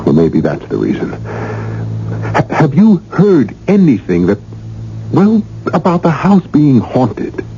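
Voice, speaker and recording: A man's voice: fairly high pitch, huge pitch swings, slow, warm tone, full character voice. A man playing a nervous, earnest young husband in a radio drama, speaking hesitantly. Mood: nervous, troubled. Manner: hesitant, stammering under strain. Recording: dull, hissy old radio recording.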